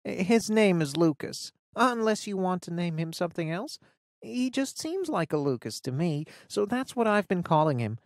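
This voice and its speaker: A woman's voice: on the low side, big pitch swings, normal speed, no room sound, studio quality, pitched above the performer's own voice. A woman voicing a rattled teenage girl who talks in a rush and keeps breaking into tears. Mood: nervous. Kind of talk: audiobook character voice.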